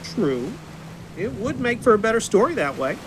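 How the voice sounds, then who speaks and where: A man playing a cheeky, excitable, nosy young friend with a lively, teasing manner in an audio drama. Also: sits high, normal speed. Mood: wry. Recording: noisy waves and ship ambience.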